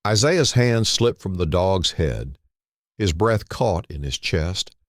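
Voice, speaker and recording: A man narrating a dramatic story in a solemn, deliberate way, in short phrases with dramatic pauses. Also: deep voice, lively intonation, dry recording, clean studio sound.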